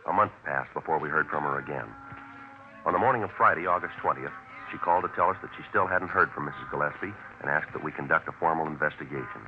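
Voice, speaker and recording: An actor playing a businesslike police sergeant in a 1950s radio crime drama, speaking in a clipped, deadpan, matter-of-fact way. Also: male voice, rumbling low, fast. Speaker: detective and narrator. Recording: muffled old radio recording, clear hiss.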